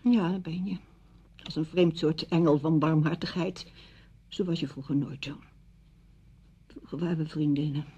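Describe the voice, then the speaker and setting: A woman's voice: low-pitched, steady tone, normal speed, long pauses, dark tone, breathy edge. An actress in a Dutch radio play, playing a wounded, bitter widow who speaks curtly and sarcastically to her friend. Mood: cold, sarcastic. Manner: curt, sarcastic. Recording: dull, muffled radio recording.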